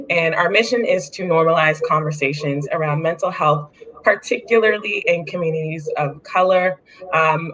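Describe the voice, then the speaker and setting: Bright, forceful woman, upbeat panelist. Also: low-pitched, rough recording. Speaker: panel guest, nonprofit founder. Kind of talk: self-introduction on a webinar panel.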